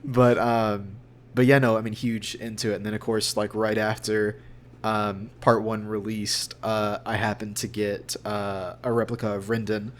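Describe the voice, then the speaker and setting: A young man chatting casually as an interview guest, rambling and halting in a self-deprecating way. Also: fairly low pitch, little pitch movement, slight background noise. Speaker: voice actor guest.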